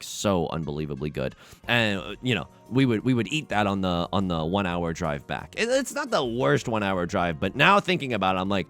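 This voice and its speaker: A man commentating his own tile game with a lively, swooping delivery, his pitch leaping around as he jokes at his own expense. He drifts between muttered, low-key asides and sudden excited bursts, rambling and restarting his sentences as he thinks aloud. Faint music sits behind him. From United States. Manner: playful, self-mocking banter; swings from hushed asides to excited outbursts. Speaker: let's play host. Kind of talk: casual gameplay commentary.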